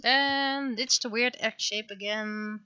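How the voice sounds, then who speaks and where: A woman's voice: a little high, fairly even intonation, takes it slowly, bright, forceful tone, clear, resonant tone, highs cut off. A woman chatting casually on a livestream as she works, thinking aloud to herself in short fragments. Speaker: livestreaming artist. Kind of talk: casual livestream chat while drawing.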